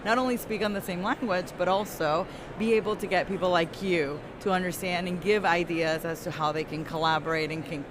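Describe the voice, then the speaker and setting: A woman's voice: lowish voice, steady tone, fairly quick, hardly any pauses. A woman chatting casually as an interviewer. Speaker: interviewer, conference volunteer. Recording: clear urban background noise.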